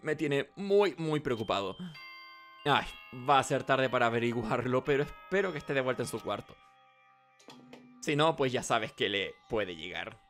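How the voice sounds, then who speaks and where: A man's voice: medium pitch, expressive, normal speed, a bit bright. A man streaming a video game, reading its dialogue aloud with casual commentary. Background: game music.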